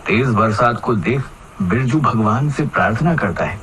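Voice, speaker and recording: A man's voice: on the low side, lively intonation, normal speed, fairly bright tone, a little hiss or hum, low-quality recording. A man narrating a scripted children's cartoon story as its storyteller.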